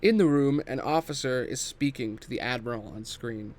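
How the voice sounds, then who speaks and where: A man's voice: medium pitch, normal speed, a little mellow. A man narrating scripted stage directions at a table read.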